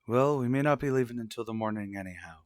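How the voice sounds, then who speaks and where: A man playing a stern, distrustful husband and father in an old-fashioned radio soap, speaking in a formal, measured, argumentative way. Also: mid-range voice, dry recording, clean studio sound, natural voice. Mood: defensive.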